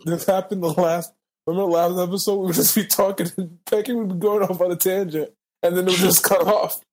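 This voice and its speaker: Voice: mid-range voice, fairly even intonation, fast talker, a little mellow. A podcast co-host in casual banter, telling stories in slangy language and acting out what people said.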